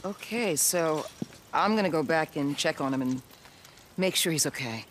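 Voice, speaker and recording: An actress playing a firm, compassionate camp medic in a video game. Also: female voice, low-pitched, animated, moderate pace, slight background noise. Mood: measured, grave. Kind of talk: naturalistic video game acting.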